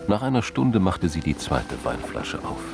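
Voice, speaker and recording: A man reads scripted narration for a radio crime drama. His voice is extremely deep, with music clearly playing behind it. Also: big pitch swings, normal speed.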